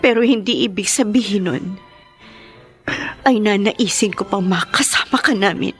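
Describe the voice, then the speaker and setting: A woman's voice: mid-range voice, faint music behind, natural voice. A woman playing an earnest, emotional adult daughter, devoted and wounded, in a Filipino radio drama. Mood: bitter, firm. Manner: slow, emotional, earnest delivery. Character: devoted, wounded adult daughter caring for her sick father.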